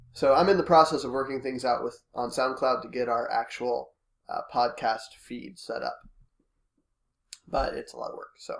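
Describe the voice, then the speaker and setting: A man with a head cold hosts a laid-back podcast, his voice congested and interrupted by the odd cough. He talks in a relaxed, hesitant way, filling gaps with fillers as he works through his thoughts. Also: mid-range voice, echoey room. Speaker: podcast host. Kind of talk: casual chat.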